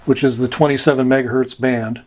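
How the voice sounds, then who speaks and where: A man giving an informal explanatory lesson as an instructor, deliberate and halting, with long pauses. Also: mid-range voice, fairly even intonation, a little mellow.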